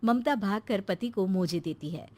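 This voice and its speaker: A woman's voice: mid-range voice, moderate pace, warm tone. A woman narrating a scripted cartoon story as a storyteller.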